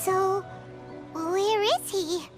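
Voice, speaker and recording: A woman voicing a tiny, feisty childlike fairy companion in a video game, squeaky, blunt and excitable. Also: extremely slow, full character voice. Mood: curious. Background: loud game music.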